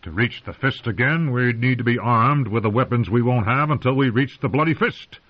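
A man's voice: mid-range voice, normal speed, voice pushed up, natural voice. A man voicing a stern, impatient old male commander who growls and scorns. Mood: gruff. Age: older. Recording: muffled lo-fi recording.

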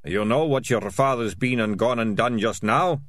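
Storybook thug: a man putting on a rough rat catcher, raised and menacing, dry sound. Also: medium pitch, normal speed, fairly bright tone, no echo, close to an everyday voice. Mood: threatening. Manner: rough, threatening, common speech. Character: gruff bullying male rat catcher.

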